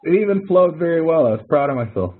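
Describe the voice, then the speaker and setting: A man's voice: on the high side, animated, fast, breathy edge. A man leading an informal webinar as an instructor, explaining step by step in a conversational way and correcting himself as he goes. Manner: conversational, self-correcting, talks through examples step by step. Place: online webinar call. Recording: tinny, narrow-band online call audio.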